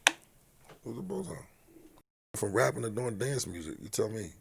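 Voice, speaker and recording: A man's voice: lowish voice, very animated, moderate pace, gravelly, very noisy. A middle-aged man from Philadelphia with a big voice, talking bluntly and with profanity as a guest in a casual interview.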